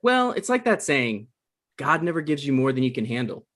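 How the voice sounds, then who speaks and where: A man's voice: mid-range voice, big pitch swings, moderate pace, a bit bright. An awkward, earnest man in his mid-thirties, played by a man at a comedy table read, bluffing through a date. His voice sits mid-range and he turns defensive and evasive easily.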